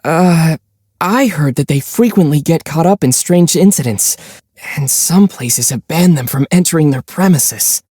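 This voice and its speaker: Mellow young traveler hero. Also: male voice, fairly high pitch, expressive, slightly rough, no room sound, clean studio sound. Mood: matter-of-fact. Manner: measured, thoughtful, mildly teasing. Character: young male traveler hero, calm, gentle, sensible, gently teasing. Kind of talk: video game character voice lines.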